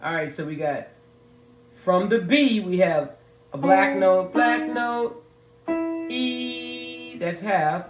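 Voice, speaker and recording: A person with a low, deep, raspy voice talks slowly through a beginner piano lesson, taking it one step at a time. They are recorded in a roomy space that echoes. Piano notes sound clearly in the background. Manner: slow, talks through each step, sings scale notes on "ho". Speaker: piano learner teaching beginners. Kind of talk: casual step-by-step music lesson. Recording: roomy echo with piano playing.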